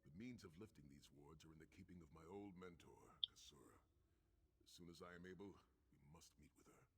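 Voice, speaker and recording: An actor playing a noble, weary warrior freed from captivity in a video game, speaking in a grave, formal, measured way. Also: male voice, sits low, very noisy. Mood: earnest, resolute. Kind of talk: scripted video game dialogue.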